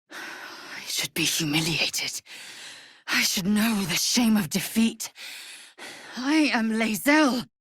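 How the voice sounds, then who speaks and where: An actress playing a fierce, proud young female alien warrior in a video game, with a husky, raspy voice and a clipped, haughty, formal manner. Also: on the high side, expressive, slow, pauses often, a bit bright, no room sound, clean studio sound, heavily acted. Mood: proud, indignant. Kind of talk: video game character voice acting.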